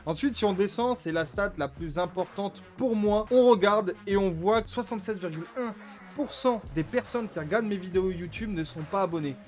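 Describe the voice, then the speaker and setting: Guy youtuber, kinda high voice, chatting casually to his subs, bit of music in the back. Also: fairly quick. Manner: informal, conversational, addresses viewers as mates. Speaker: small YouTuber, vlogger. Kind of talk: casual vlog talk to subscribers, commenting on channel stats.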